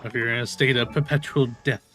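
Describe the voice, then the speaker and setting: A man's voice: medium pitch, fairly even intonation, fast talker, a bit bright, faint music behind. A man giving casual, playful commentary as he streams a video game, often exclaiming and talking to the characters.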